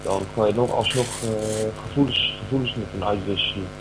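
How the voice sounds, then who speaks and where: Over a clearly humming, noisy recording comes a fairly low-voiced actor as an earnest believer, a man in an audio play. He rambles hesitantly, pausing and repeating himself as he reassures and explains. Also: steady tone, lightly acted. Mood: earnest, awed. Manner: hesitant, rambling, many pauses and repetitions. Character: earnest believer in friendly aliens, reassuring companion. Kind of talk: improvised-sounding Dutch audio drama monologue. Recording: noisy, with loud mains hum.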